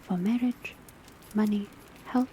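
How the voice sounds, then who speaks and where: A woman playing a professor of divination at a witch school, lecturing in a soft, breathy, measured whisper in an ASMR roleplay. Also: medium pitch, lightly acted. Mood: calm, instructive. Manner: measured, instructive, hushed. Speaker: teacher. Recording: close ASMR recording with background ambience noise. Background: crackling fireplace, pencil writing, rain on rooftop.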